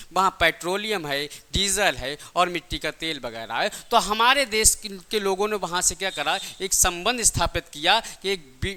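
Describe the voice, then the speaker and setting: A man's voice: high voice, sharp tone. A man teaching a class, asking questions and answering them himself, repeating key points in simple everyday examples. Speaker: physics teacher for exam coaching.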